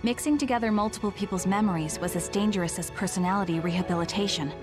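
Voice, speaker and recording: A woman's voice: mid-range voice, fast talker, close to an everyday voice. A young woman playing a composed, serious squad leader in an anime game. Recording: music behind voice.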